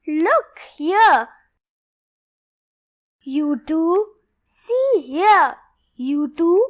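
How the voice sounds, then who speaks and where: A young girl reads a children's rhyming book aloud in a high, lively voice. She goes very slowly, phrase by phrase, with an expressive, deliberate delivery. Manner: expressive, deliberate word-by-word reading in short phrases. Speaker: read-along presenter.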